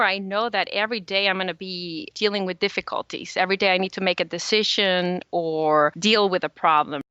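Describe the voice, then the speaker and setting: A woman's voice: mid-range voice, pushed and bright, smooth, dry recording. A woman from El Salvador, a native Spanish speaker, giving earnest, upbeat answers as a guest in a conversational interview. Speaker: podcast guest, small business owner. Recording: slightly dull, lo-fi audio.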